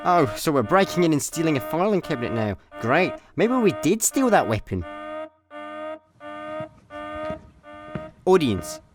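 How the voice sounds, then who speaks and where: Male actor as chatty Scottish sci-fi comedy slacker, bumbling, animated pitch, exasperated. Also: brisk, over music. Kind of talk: comedic sci-fi radio play.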